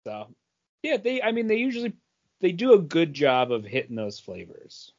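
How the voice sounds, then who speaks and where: A man co-hosting a podcast, telling things casually in a halting, conversational way. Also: a little high, very animated, dull audio. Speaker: podcast co-host. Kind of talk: casual chat, telling anecdotes.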